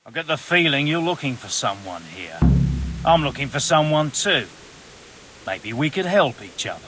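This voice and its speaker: An actor playing a sly, mock-friendly bandit in a video game, insinuating and sarcastic. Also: male voice, mid-range voice, big pitch swings, normal speed, fairly bright tone, faint music behind. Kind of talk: video game cutscene acting.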